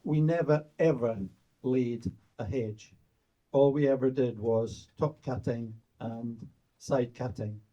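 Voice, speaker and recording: An older man from the audience asking a question in a slow, measured way. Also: medium pitch, warm tone, highs cut off. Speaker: audience member.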